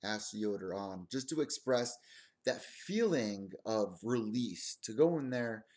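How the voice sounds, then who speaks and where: A man in his twenties hosting his own combat sports podcast, talking in a rambling, excitable way with lots of asides. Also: lowish voice, unhurried. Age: 20s. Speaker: podcast host. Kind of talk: casual solo stream commentary.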